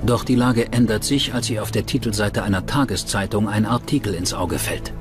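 A man's voice: on the low side, brisk, lightly acted. A man reading scripted true-crime documentary narration as the narrator. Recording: music bed under the voice. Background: background music.

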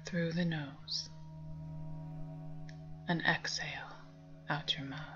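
Female meditation guide, low soft breathy voice, calming near-whisper, slightly muffled lo-fi recording. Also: slow. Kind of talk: guided meditation, slow spoken instructions. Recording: dull lo-fi sound under music. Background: meditation music with singing bowls.

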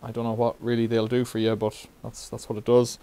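A man's voice: medium pitch, a little fast, clean tone. Chatty man in a bike workshop. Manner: relaxed, rambling, wry asides. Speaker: bike repair vlogger. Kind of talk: casual how-to demonstration. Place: cluttered bike workshop indoors.

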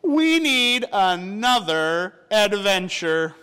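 A man's voice: high voice, very animated, very slow, pushed and bright, clean tone, voice pushed up, exaggerated voice. A man voicing a timid little boy who whimpers and cries out, in a children's story reading. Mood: cheerful. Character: timid little boy, nervous then brave.

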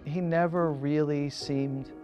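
A middle-aged man telling a story in a measured way during a seated interview. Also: on the high side, fairly even intonation, darkish tone, highs cut off. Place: indoor press room, seated interview. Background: music.

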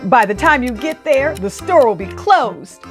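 A woman's voice: high-pitched, lively intonation, unhurried, faint music behind, voice pushed up. A woman voicing a boastful, teasing, hot-tempered male hare from a storybook, with exaggerated taunts. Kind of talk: storybook character voice.

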